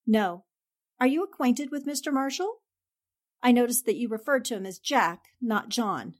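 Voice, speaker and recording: A woman playing a polite, cautious newspaperwoman in a 1940s-style radio drama, measured and reserved. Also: on the high side, lively intonation. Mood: cautious, reassuring.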